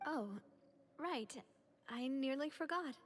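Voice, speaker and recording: A woman's voice: sits high, slow, a little hiss or hum. A woman playing a composed, earnest young woman in a video game, resolute but vulnerable. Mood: dazed, hesitant. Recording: game music behind the voice.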